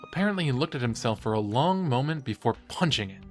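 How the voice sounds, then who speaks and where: A man playing an eager, credulous young student podcaster in an audio drama, talking in an animated, earnest, teasing way. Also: mid-range voice, quick pace, soft background music, lightly acted. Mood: earnest, intrigued. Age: young adult. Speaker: podcast co-host character. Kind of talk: conversational audio drama acting.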